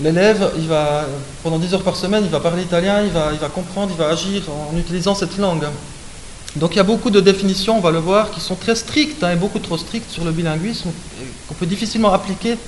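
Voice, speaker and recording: A man from Switzerland giving an academic lecture, explaining points with frequent hein and euh and correcting himself as he goes. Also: high voice. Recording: echoey room with faint hiss.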